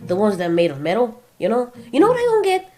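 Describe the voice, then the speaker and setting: A woman's voice: fairly high pitch, huge pitch swings, fast, warm tone, pitched above the performer's own voice. A woman voicing a crude, oblivious male flying monster who rambles chattily in a comic audio drama. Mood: excited, crude.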